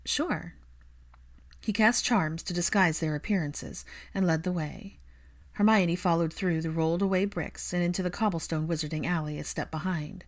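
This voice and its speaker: A woman's voice: fairly low pitch, normal speed. A woman reading fan fiction aloud as a scripted audiobook narration.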